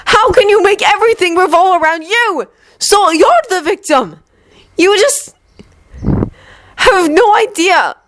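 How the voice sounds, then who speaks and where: A woman voicing a distraught young man who shouts accusations and is close to tears. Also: extremely high pitch, lively intonation, a little slow, long pauses, pitched above the performer's own voice, full character voice. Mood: furious. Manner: yelling accusations. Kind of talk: dramatic story reading.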